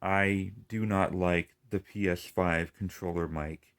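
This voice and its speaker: Lisping male gamer, rambling frustrated rant, low-ish voice, unhurried delivery. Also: steady tone, clean tone. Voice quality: lisp. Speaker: gaming video creator. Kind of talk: casual ranting vlog.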